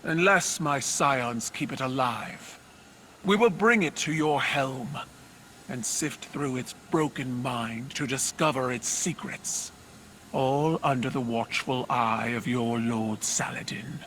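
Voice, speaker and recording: An actor playing a proud, solemn alien warrior ruler who speaks in a stately, formal way in a video game. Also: male voice, mid-range voice, slow, a little hiss or hum, rough recording. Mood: commanding. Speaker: allied military leader. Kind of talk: dramatic video game voice acting. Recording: loud music behind.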